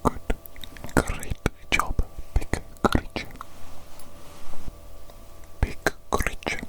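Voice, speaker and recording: A woman playing an evil doctor in an ASMR medical roleplay, whispering in a breathy, deliberate way with repeated instructions and praise. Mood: hushed, instructing, praising. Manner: slow, deliberate, repetitive, praising. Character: evil doctor calmly examining a big creature.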